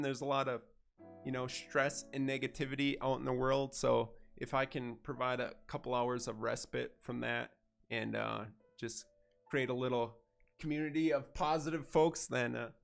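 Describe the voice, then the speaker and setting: Congested, gravelly-voiced male streamer. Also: mid-range voice. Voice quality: nasal. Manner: easygoing, chatty, thanks viewers warmly. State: congested from a head cold. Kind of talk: casual gameplay livestream chat.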